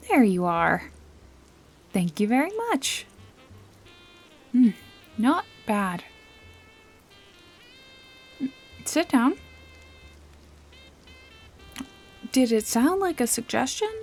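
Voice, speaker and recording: A woman playing a wry, bossy detective-bodyguard in an intimate noir ASMR roleplay, with a teasing, casually threatening manner and a breathy voice that often drops toward a whisper. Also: fairly high pitch, sing-song, takes it slowly, pauses often, faint music behind, heavily acted. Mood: curt, commanding.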